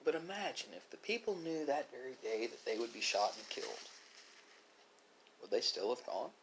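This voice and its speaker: A man delivering a reflective monologue, speaking philosophically with dramatic pauses and emphasis. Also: mid-range voice, big pitch swings, a bit bright, a little hiss or hum, low-quality recording. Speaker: video creator.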